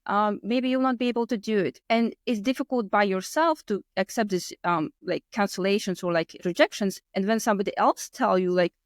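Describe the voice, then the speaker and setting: A woman's voice: mid-range voice, a little fast, few pauses, no echo. Latvian-accented woman in her thirties, dry sound. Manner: non-native English with loose grammar and word order. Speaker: podcast guest. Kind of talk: casual personal storytelling in interview.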